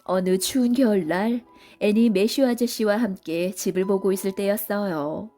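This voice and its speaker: A woman's voice: medium pitch, normal speed, dark tone, faint music behind. A woman reading scripted narration for a children's story as a storybook narrator.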